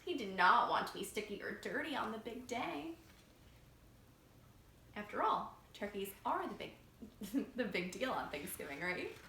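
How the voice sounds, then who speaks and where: A woman reading a picture book aloud to children as a library storytime reader, expressive and engaging them directly. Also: mid-range voice, unhurried, long pauses. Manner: expressive, asking young listeners questions. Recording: roomy echo, faint background noise.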